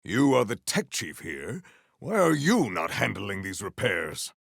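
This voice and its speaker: A male actor voicing a proud, potent warrior in a video game, with formal, grandiose, deadpan delivery. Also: on the low side, unhurried, creaky, no room sound, studio quality, full character voice. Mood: brisk, resolute. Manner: formal, stilted, grandiose diction. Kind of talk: scripted video game character lines.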